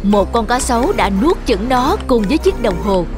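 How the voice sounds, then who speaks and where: High-voiced woman telling a fairy tale. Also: big pitch swings, moderate pace. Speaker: narrator. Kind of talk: scripted fairy tale narration for children. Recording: music under the voice. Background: music.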